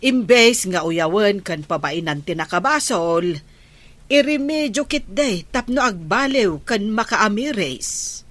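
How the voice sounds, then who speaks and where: A woman with an Ilocano Filipino accent playing a measured village woman in a radio comedy drama. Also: mid-range voice, animated, no echo. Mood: approving.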